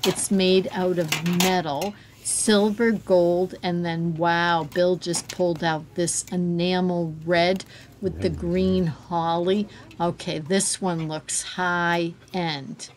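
A woman's voice: fairly low pitch, level tone, takes it slowly, slight background noise. A woman narrating a shop-with-me vlog inside a store, filming on a handheld phone and gushing over the items she finds. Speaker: shopping vlogger. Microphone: handheld phone camera.

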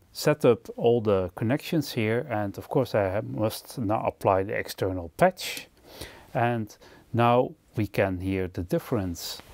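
A man giving an unscripted product demonstration as presenter, explaining in a halting, hesitant way. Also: on the low side, a little mellow, dry recording. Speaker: gear reviewer and presenter.

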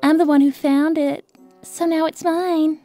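A woman's voice: sits high, fairly even intonation, unhurried, mellow, faint music behind. A woman voicing a bossy, teasing young female animal character with a haughty, pointed manner in a cartoon comic dub. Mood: smug.

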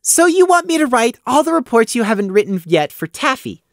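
A man voicing a small, timid young male lamb who speaks in a flat, wary and precise way. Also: high-pitched, moderate pace, no room sound, studio quality, pitched above the performer's own voice.

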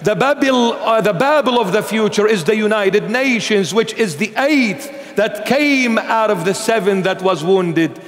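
A middle-aged man from Iraq preaches from a church altar in a high, emphatic voice. He reads scripture and explains it with animated force. The sound hangs in the long reverb of a large sanctuary. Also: unhurried, few pauses. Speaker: bishop preacher. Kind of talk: sermon with Bible reading.

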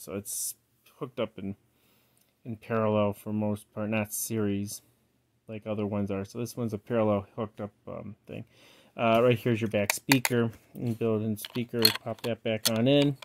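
A man giving a casual, unscripted product walkthrough in a halting, rambling way, often trailing off, recorded indoors on a handheld phone. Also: fairly low pitch, darkish tone, no echo. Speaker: collector showing a yard-sale cassette player. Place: indoors, close over a tabletop. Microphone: handheld phone he is filming with.

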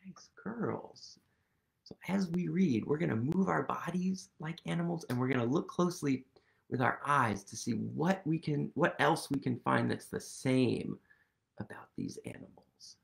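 Animated man reading aloud to children. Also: medium pitch, unhurried, creaky. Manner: animated, playful, sing-song, whispers for effect. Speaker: children's storytime reader. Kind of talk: read-aloud for young children. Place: living room at home, seated on a sofa.

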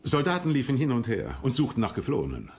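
A man's voice: medium pitch, huge pitch swings, fast talker, gravelly. An actor playing a regal, self-assured English king in a historical docudrama, speaking formally and theatrically. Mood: storytelling, self-satisfied. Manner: formal, stately, theatrical phrasing. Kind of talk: German historical docudrama acting.

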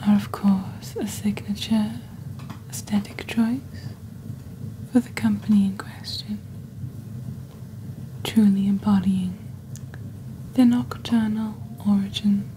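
A young woman playing a genteel witch shopping-channel host in soft-spoken ASMR, with a breathy near-whisper and a deliberate, gently formal delivery. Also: medium pitch, slight background noise, low-quality recording. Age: young adult. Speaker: ASMR performer.

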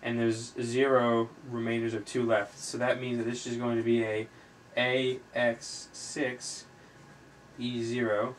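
Even-toned man tutoring over background noise. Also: mid-range voice. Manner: halting, thinking aloud while writing. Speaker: chemistry tutor, video host. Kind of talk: informal explanatory tutorial. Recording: noisy amateur recording with room ambience.

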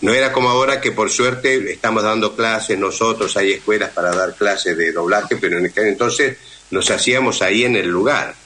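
A man's voice: on the low side, fairly even intonation, normal speed, few pauses, sharp tone. A middle-aged man with an Argentine accent, talking conversationally as a guest in a radio interview. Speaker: guest voice actor and dubbing teacher.

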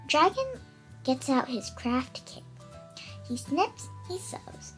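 A young girl of about eight reads a storybook aloud. Her voice is high and swings up and down a great deal as she takes her time through the words. Music plays behind her. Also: slow, poor audio. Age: child, about eight. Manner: expressive, careful reading with stumbles over words. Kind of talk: read-aloud children's picture book.

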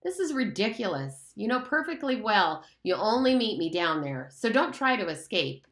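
A woman's voice: medium pitch, big pitch swings, normal speed, a bit bright, pitched above the performer's own voice. A woman reading aloud and voicing a mocking, menacing pig's head that speaks as a beast, in a room with a noticeable echo. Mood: threatening. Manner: taunting, condescending, menacing. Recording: roomy echo.

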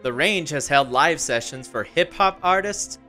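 A man playing a chipper, folksy dad making a sales pitch in a scripted radio ad. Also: expressive, unhurried, bright, forceful tone, soft background music. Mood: upbeat, pitching. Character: chipper dad pitching to his young son.

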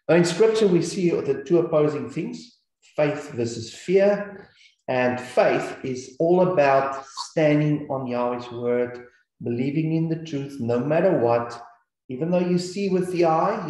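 A man's voice: medium pitch, dark tone. New Zealand man teaching, echoey room. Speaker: Bible teacher. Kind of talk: scripture teaching over slides.

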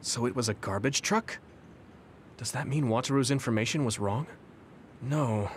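A man's voice: mid-range voice, normal speed, a little hiss or hum, close to an everyday voice. An actor playing an eager, caring young male soldier hero in a game cutscene, speaking expressively and sympathetically. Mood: earnest. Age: young adult. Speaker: game protagonist.

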